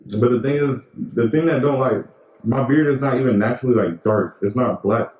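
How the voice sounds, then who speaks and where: A man's voice: mid-range voice, fairly quick, reverberant, lo-fi sound. A young man co-hosting a podcast in casual, rambling chat full of slang and fillers. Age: young adult. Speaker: podcast co-host.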